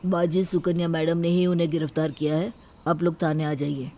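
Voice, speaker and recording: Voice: mid-range voice, flat delivery, brisk, warm tone, lightly acted. A performer voicing a matter-of-fact, formal police caller in a cartoon story. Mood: official. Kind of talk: cartoon moral story acting.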